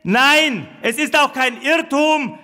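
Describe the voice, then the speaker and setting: A man delivering a formal, military-style public announcement in a deliberate, proclamatory way, with a reverberant loudspeaker sound. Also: very high voice.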